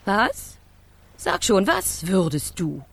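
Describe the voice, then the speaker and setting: A woman's voice: mid-range voice, wildly swooping pitch, slow, creaky, breathy edge, dry recording, full character voice. A woman playing a feisty, scornful would-be ship's stoker in a children's radio play, blunt and grumbling, with dramatic exclamations. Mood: puzzled.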